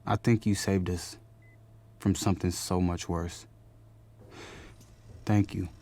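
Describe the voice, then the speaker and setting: A man's voice: low-pitched, little pitch movement, slow pace, dark tone. A man playing a protective, guilt-ridden older brother, a young man, in a video game drama. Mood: remorseful, sincere.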